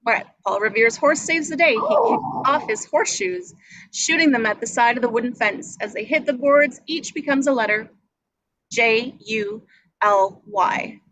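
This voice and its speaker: A woman's voice: on the high side, expressive, normal speed, fairly bright tone, no room sound, poor audio. A woman reading screenplay action descriptions aloud as scripted narration at a table read. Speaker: stage directions reader. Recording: noticeable background noise.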